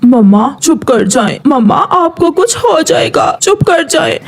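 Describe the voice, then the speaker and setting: Woman doing a devoted lil boy bird, high voice, lively, earnest and reassuring, kids cartoon. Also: unhurried, creaky, full character voice. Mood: worried, comforting. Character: devoted young schoolboy bird, earnest and comforting. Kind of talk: Hindi moral-story cartoon acting.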